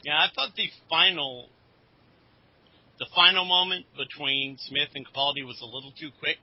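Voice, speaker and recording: A man co-hosting a podcast in casual chat, with a halting, hedging delivery. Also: fairly high pitch, fairly even intonation. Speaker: podcast co-host. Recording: dull lo-fi podcast audio.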